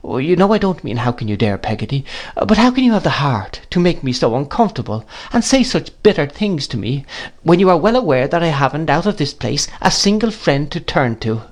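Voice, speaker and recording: A man doing a tearful young mother, high and pleading. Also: big pitch swings, measured pace, gravelly, hardly any pauses, heavily acted. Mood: distressed. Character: young mother, pretty, tearful and easily wounded.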